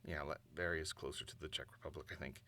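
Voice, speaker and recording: A man's voice: a bass voice, fairly even intonation, fast talker, sharp tone. A man talking casually to himself while practicing, thinking aloud in a self-deprecating mutter. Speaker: amateur voice actor.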